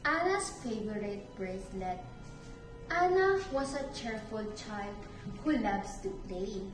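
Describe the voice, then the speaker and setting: A woman reads a children's story aloud at a very slow pace, with lively swings in pitch. She sounds a little far from the mic in an echoey room. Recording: echoey room, distant mic, music behind.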